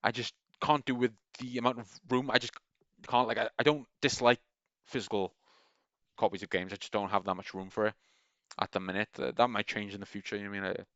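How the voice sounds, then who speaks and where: A man's voice: on the low side, flat delivery, fast talker, a bit bright, no room sound, lo-fi sound. A man talking in a casual, meandering monologue, enthusiastic and full of restarts. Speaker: gaming video creator.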